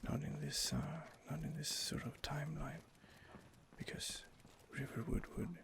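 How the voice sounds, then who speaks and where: A man giving soft, hesitant, whispered ASMR commentary over gameplay into a close home microphone, pausing often on fillers. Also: fairly high pitch, level tone, vocal fry. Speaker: let's play host.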